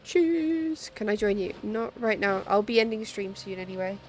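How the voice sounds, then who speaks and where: A woman's voice: medium pitch, lively intonation, moderate pace, slight background noise. A woman hosting a game livestream, chatting casually and commentating as she plays. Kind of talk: casual gameplay commentary, reading game text aloud. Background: game music behind the voice.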